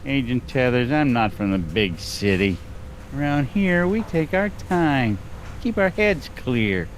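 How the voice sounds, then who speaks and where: An actor playing a dismissive, evasive small-town sheriff, for an animated game. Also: male voice, medium pitch, measured pace, a little hiss or hum. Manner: folksy, condescending.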